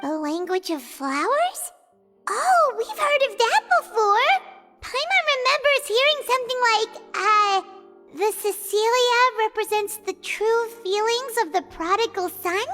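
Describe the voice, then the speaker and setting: A woman voicing a small, bubbly childlike fairy companion in a video game, with a squeaky voice. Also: animated, measured pace, in a large hall, music in the background. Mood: curious. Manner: refers to self in third person, bubbly. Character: small cheerful childlike fairy companion, chatty food lover.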